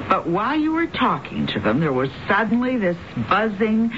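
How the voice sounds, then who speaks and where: A woman's voice: medium pitch, huge pitch swings, moderate pace. An actress playing a breezy, reassuring friend and practical mother in a 1970s radio drama, casual, slangy and matter-of-fact. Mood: skeptical, practical. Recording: noisy, dull 1970s radio broadcast.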